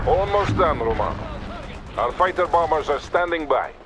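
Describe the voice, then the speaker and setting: An actor playing a stern, composed Soviet army colonel with a Russian accent, giving measured orders in a military video game. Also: male voice, a little high, expressive, close to an everyday voice. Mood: composed, commanding. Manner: authoritative, measured, commanding. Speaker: military commander character. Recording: battle gunfire noise behind voice. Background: gunfire, artillery and vehicles.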